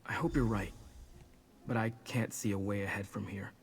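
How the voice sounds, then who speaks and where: A man's voice: on the low side, steady tone, moderate pace, slight background noise, natural voice. An actor playing an earnest, apologetic young man burdened with guilt in a video game. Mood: despairing.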